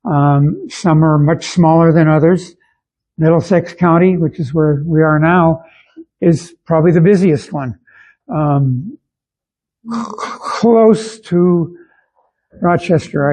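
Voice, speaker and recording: An older man giving an informal club talk, explaining things in a halting, unhurried way. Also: on the high side, a little mellow, dull audio. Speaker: amateur radio club guest speaker.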